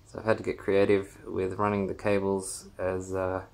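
A man gives a casual, halting walkthrough of his small basement home theater, filming on a handheld phone as he walks. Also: deep voice, completely flat delivery, gravelly, darkish tone. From Australia. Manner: unhurried, halting with many fillers. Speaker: home theater owner giving a room tour. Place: small basement room, indoors. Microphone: handheld phone camera, filming while walking.

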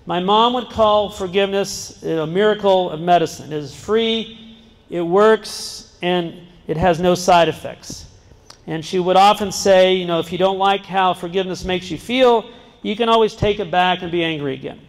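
Man, middle-aged, high voice, earnest talk to students, handheld mic, big gym, long reverb. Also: normal speed. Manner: earnest, breaks off and restarts, jokes with the audience. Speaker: guest speaker. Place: large school gym. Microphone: handheld microphone. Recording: long reverb in a large hall. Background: seated student audience.

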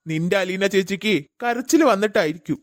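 A woman's voice: mid-range voice, brisk, close to an everyday voice. A woman voicing a sarcastic teenage boy making mocking remarks. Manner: mocking, wisecracking. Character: sarcastic teenage younger brother.

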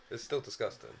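A young man co-hosting casual, bantering game commentary. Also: slight background noise. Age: young adult. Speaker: gaming video co-host.

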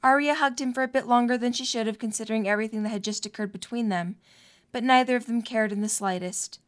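High-pitched woman narrating a story. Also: fast talker. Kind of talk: scripted story narration for a fan audio drama.

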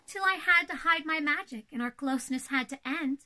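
An elegant older-sister princess, acted out by a young woman reads a children's storybook. The voice is high and bright. The delivery is poised and theatrical, as befits proper royalty. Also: brisk. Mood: earnest, prim. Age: young adult. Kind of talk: storybook read-aloud for children.

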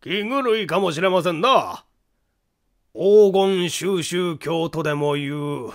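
A man's voice: sits high, lively intonation, slow pace, full character voice. A man reading an audiobook and voicing a puzzled, musing police inspector.

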